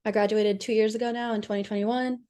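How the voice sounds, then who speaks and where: A woman's voice: medium pitch, steady tone, quick pace, dark tone. A woman in her twenties from California, an optometry student, introducing herself in a straightforward way. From Sacramento, California. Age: 20s.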